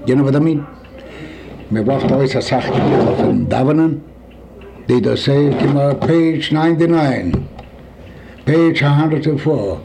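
Gravelly old man with Yiddish accent. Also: medium pitch, expressive, background noise. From Poland. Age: 70s. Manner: halting, searching for words, slipping into Yiddish. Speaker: interviewee. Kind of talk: oral history recollection.

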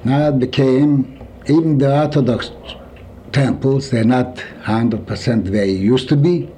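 A man in his seventies from Poland with a Yiddish accent, recalling his life in an interview, halting and searching for words. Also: mid-range voice, warm tone, a little hiss or hum. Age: 70s. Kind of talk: oral history recollection.

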